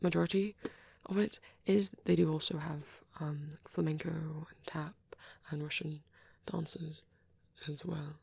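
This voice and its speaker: A performer voicing a shy, bashful, nerdy young male vampire boyfriend in a soft, hesitant, rambling ASMR roleplay. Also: medium pitch, a little slow, vocal fry, husky, no room sound, full character voice. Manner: hesitant, stammering, apologetic, excitable when rambling.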